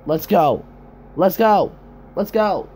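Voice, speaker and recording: Casting: man playing an urgent, exclamatory fantasy soldier for game battle calls, high and swooping, noisy home recording. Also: animated, takes it slowly, a little mellow. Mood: rallying. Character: excitable male fantasy soldier shouting battle voice lines. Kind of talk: amateur game-style voice lines.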